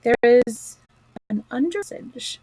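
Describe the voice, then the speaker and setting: A woman's voice: sits high, animated, normal speed, no echo, rough recording. A woman voicing a stern, wise old male badger in a storybook, speaking severely. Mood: impressive. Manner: severe and commanding.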